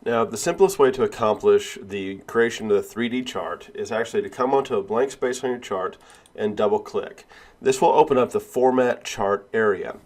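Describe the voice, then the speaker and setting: Man with a cold, fairly low voice, sniffling, unhurried step-by-step tutorial narration. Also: darkish tone. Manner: step-by-step, with frequent "uh" and "all right". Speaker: instructor. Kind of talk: screen-recorded software tutorial.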